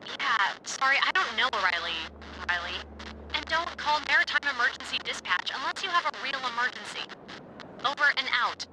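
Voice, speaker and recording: A woman's voice: high-pitched, lively intonation, brisk, background noise. An actress playing an earnest, businesslike female emergency dispatcher in a video game, talking in radio protocol. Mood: curt, businesslike. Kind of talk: naturalistic video game dialogue over walkie-talkie.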